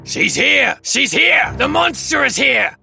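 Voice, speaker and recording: A woman voicing shrill monster hunters and wailed curses, shouting theatrically in a high, gravelly voice for a gothic story. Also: takes it slowly, soft background music, heavily acted. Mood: alarmed, commanding.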